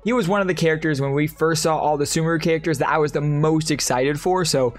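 A young man with a headset in a small room, reacting to a game trailer with excited enthusiasm. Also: a little high, quick pace, faint music behind. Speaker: YouTube gaming reactor. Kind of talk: reaction video. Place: small room with colored lights. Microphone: headset and camera at desk.

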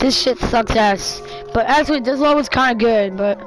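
A high-voiced woman commentates casually on her own video game play. She is brash, foul-mouthed and exasperated, with game music playing behind her. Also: moderate pace, poor audio.

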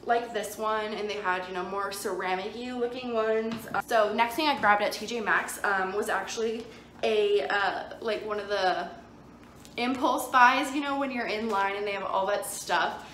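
A woman's voice: mid-range voice, fairly even intonation, normal speed, fairly bright tone. Young woman chatting in an echoey room. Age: young adult. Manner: enthusiastic, gushing, informal. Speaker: vlogger. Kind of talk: casual chatty shopping haul to camera. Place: indoors in a plain-walled room. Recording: echoey room with faint background noise.

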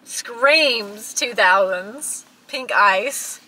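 A woman's voice: fairly high pitch, extremely slow. A woman in her twenties chatting casually as a vlogger, telling stories with dramatic emphasis in a car. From small town. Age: 20s. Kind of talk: casual chat, storytelling with a friend. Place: inside a parked car at night. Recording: background noise inside a parked car.

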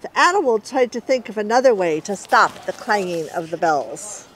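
A middle-aged woman reading a children's story aloud in an expressive storyteller's way. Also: medium pitch, moderate pace, low-quality recording.

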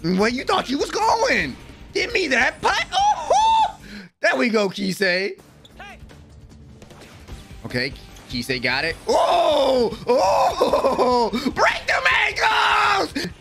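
A young American man giving excited, slangy, exclamatory live reaction commentary at a close desk mic in a room at home. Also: very high-pitched, very slow, vocal fry, raised voice, music in the background. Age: young adult. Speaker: reaction video host. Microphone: close desk microphone.

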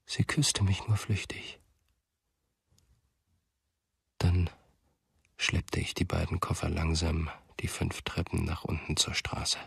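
A man's voice: low-pitched, steady tone, unhurried, vocal fry, breathy edge, dry recording, studio quality, full character voice. An actor playing a brooding, self-doubting male writer and storyteller in a German radio play.

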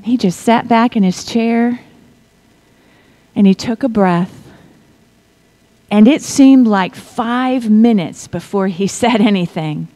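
A middle-aged woman preaching a sermon, reading scripture in a measured way and dropping to a whisper for effect. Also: a little high. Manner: slow, deliberate delivery with pauses and soft whispered stretches; asks the congregation to repeat lines.

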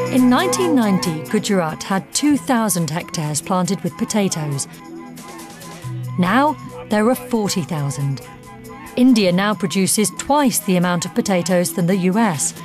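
Woman, documentary narrator, scripted delivery, medium pitch, music underneath. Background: background music.

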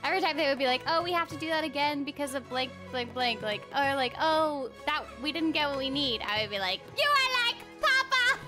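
A woman's voice: sits high, animated, a little fast, few pauses, over loud music. A young woman chatting casually on a livestream, playful and exuberant, theatrically acting out little quotes. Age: young adult. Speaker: streamer and voice actor. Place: small indoor room, streaming over a game.

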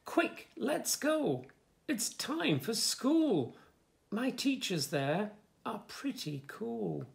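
A man in his fifties reading a picture book aloud to young children, with expressive, sing-song delivery and soft asides. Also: high voice, drawn-out words, creaky. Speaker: children's story reader, host. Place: small furnished room indoors, bookshelves.

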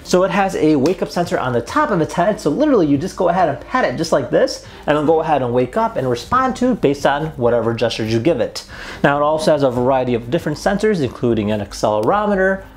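A young adult man presenting a casual product unboxing and review in an upbeat, conversational way, indoors in a small room. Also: a little high. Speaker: host of an unboxing and review video.

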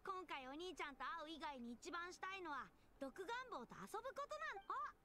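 A woman's voice: very high-pitched, sing-song, rapid-fire, bright, forceful tone, a little hiss or hum, full character voice. A woman voicing an innocent, earnest young boy in an anime game, eager and childlike. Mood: eager, cheerful. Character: earnest, innocent young boy missing his older brother. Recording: game music behind the voice.

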